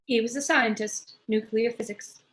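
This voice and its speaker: A woman's voice: a little high, fairly even intonation, moderate pace, fairly bright tone, lightly acted. A woman playing a cool, unsentimental widow with a dry, morbid manner in a script table read.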